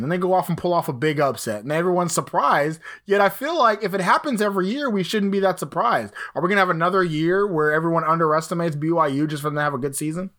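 Casting: man from Texas, sports podcast host, a bit high-pitched, chatty and upbeat. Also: fairly bright tone. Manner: upbeat and chatty, laughing easily. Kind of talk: casual sports podcast chat.

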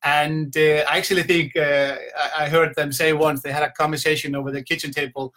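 A middle-aged man with an Icelandic accent talks informally to an online audience as a business coach. His voice is bright and forceful, pitched slightly higher than most men's. He tells stories in a loose, conversational way. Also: level tone, a little fast. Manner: conversational, rambling storytelling with repeated "you know". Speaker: business coach giving a motivational talk. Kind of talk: informal motivational talk to a live online audience. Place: at home, indoors.

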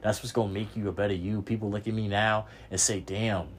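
Preachy young man, low voice. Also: little pitch movement, fairly quick, slight background noise. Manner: preachy, repetitive, swears casually. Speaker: podcast host. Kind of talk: motivational monologue.

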